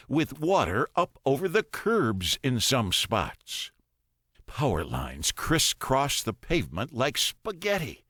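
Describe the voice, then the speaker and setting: A man reading a first-person story as an audiobook narrator, deliberate and dramatic, pausing between phrases. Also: medium pitch, fairly bright tone, no echo, clean studio sound.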